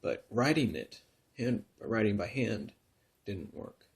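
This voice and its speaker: A man in his thirties from Northern California who tells his own story in a soft, halting way, full of pauses and restarts, sometimes close to a whisper. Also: fairly low pitch, very animated, husky. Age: 30s. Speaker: vlogger telling his story. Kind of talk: personal confessional vlog.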